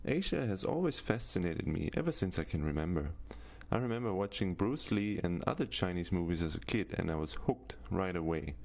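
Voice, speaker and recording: A middle-aged Austrian man with a German accent and a low, gravelly voice. His pitch rises and falls a lot as he moves through a scripted course introduction, and he keeps opening his points with "Now" in a steady, list-like rhythm. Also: fairly quick. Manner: steady, list-like delivery with frequent "Now" openers. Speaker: course instructor.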